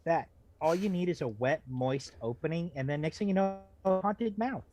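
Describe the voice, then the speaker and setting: Guy co-host just riffing, kinda halting and rambly, cracking jokes casually. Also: fairly high pitch, warm tone, faint music behind. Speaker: podcast co-host commentator. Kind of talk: casual joking banter.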